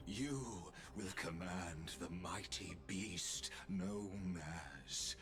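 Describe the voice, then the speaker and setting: An actor playing a sinister, grandiose court counselor in a video game, with theatrical, silky formality and breathy, half-whispered phrase endings. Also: male voice, low voice, very slow, music in the background, full character voice. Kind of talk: dramatic video game voice acting.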